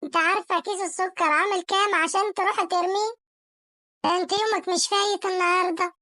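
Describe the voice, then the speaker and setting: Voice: very high-pitched, fairly even intonation, fast, pushed and bright, a little hiss or hum. A performer voicing an angry, whiny, penny-pinching cartoon husband with an Egyptian accent, scolding and wailing in a comedy sketch. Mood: exasperated.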